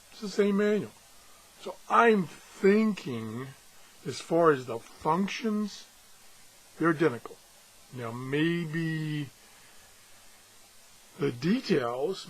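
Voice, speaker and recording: Slightly husky, higher-pitched man reviewing gadgets. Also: rough recording. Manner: halting, thinking aloud as he handles things. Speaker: gadget reviewer and video host. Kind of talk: casual unscripted hands-on product review.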